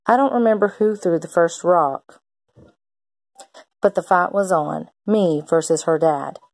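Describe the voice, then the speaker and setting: A woman's voice: lowish voice, measured pace, gravelly, no room sound. A woman reading a children's picture book aloud like a teacher, expressively acting out the characters' lines.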